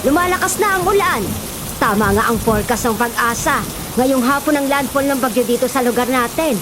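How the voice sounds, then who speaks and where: An actress playing a worried, practical shopkeeper's wife in a Filipino radio drama. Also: female voice, sits high, a little fast, background noise, close to an everyday voice. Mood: anxious, urgent. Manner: anxious, exclaiming. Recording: loud music and rain effects behind.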